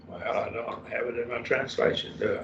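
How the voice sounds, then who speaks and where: An older man with a raspy voice teaching a Bible study class, explaining words one by one and often trailing off softly. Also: mid-range voice, moderate pace, far from the mic, background noise. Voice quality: raspy after throat surgery. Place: church classroom. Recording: echoey room, dull lo-fi sound.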